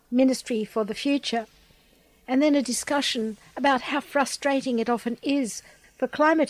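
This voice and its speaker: A woman's voice: sits high, no room sound, rough recording. A woman presenting a radio programme, reading a scripted introduction to listeners.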